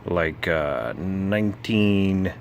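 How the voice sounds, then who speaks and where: A man giving a casual, unscripted technical walkthrough, halting and thinking aloud as he explains. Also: deep voice, a little hiss or hum. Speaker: presenter explaining vintage broadcast gear.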